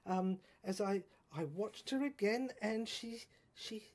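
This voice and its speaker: A woman narrating a first-person audio drama in a halting, musing way, repeating words and breaking into exclamations. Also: medium pitch, darkish tone.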